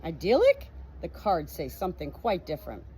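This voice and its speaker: A woman's voice: fairly low pitch, wildly swooping pitch, normal speed, warm tone, breathy edge, full character voice. A woman voicing a haughty, scornful mother with a dramatic, contemptuous way of talking. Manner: contemptuous, dramatic, screeching when triumphant. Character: haughty, scornful, theatrical mother who fancies herself psychic.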